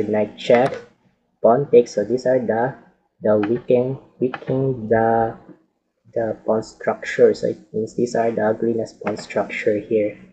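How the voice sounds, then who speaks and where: A man commentating a chess game with a hoarse voice from a cold, speaking halting English full of repeated filler phrases. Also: mid-range voice, warm tone. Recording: dull lo-fi audio.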